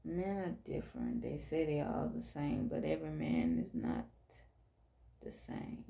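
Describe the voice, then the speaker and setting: A woman with a Southern US accent and a hoarse, tired voice, hosting an intimate late-night podcast monologue in deliberate, drawn-out phrases. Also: deep voice, very animated, mellow, echoey room. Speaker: podcast host.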